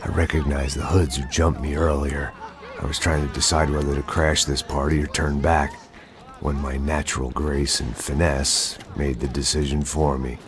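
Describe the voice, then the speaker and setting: An actor playing a bitter, middle-aged ex-cop, narrating in a gravelly American voice with sardonic, brooding deadpan. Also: male voice, extremely low pitch, moderate pace. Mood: wry, deadpan. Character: world-weary, bitter middle-aged male ex-cop turned gunman. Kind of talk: scripted hard-boiled noir game narration. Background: background music.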